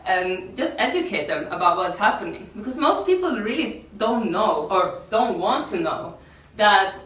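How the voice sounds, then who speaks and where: A woman's voice: mid-range voice, lively intonation, a bit bright. Norwegian woman speaking in an echoey room. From Norway. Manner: halting, repeats words, non-native English. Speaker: activist workshop speaker. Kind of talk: informal workshop talk. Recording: echoey room, distant mic.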